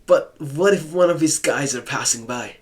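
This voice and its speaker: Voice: medium pitch, moderate pace. Wry let's-play host joking with a game. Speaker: gaming channel host playing a visual novel. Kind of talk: casual let's-play commentary.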